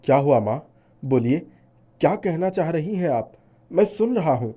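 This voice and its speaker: A man acts out a devout shopkeeper and caring son in a storybook tale. The voice is mellow and a little high for a man, earnest and soft-hearted, with a worried edge. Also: animated, normal speed. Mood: gentle, caring. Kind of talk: animated moral story dialogue.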